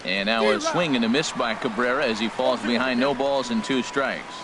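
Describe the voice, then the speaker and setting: Over clear stadium noise comes a man acting as a male baseball play-by-play announcer in a sports game. His pitch is mid-range and his delivery is composed and professional, in the manner of a broadcast commentary. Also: moderate pace, natural voice.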